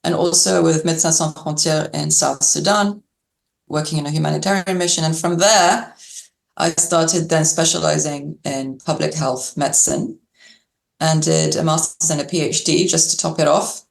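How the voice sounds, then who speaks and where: A woman's voice: sits low, fairly even intonation, moderate pace, creaky. A woman doctor in public health, a podcast guest, answering questions in a thoughtful way with small laughs. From Algeria and Yorkshire. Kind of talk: interview answers. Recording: echoey room.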